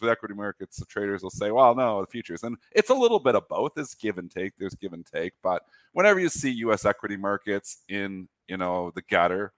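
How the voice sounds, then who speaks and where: Canadian dude, deep voice, rambly, talks down on himself, dry sound. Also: male voice, no echo. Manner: rambling, self-deprecating. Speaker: co-host. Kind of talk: casual market chat.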